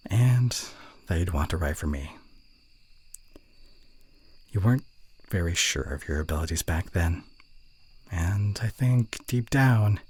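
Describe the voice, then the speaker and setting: A man plays a young male college student in an intimate ASMR roleplay, with a very deep, heavily breathy and husky voice. He speaks softly and tenderly, a little hesitant, with reserved affection and gentle teasing. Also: heavily acted. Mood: earnest, reassuring. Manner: soft, hesitant, tender delivery with whispered asides.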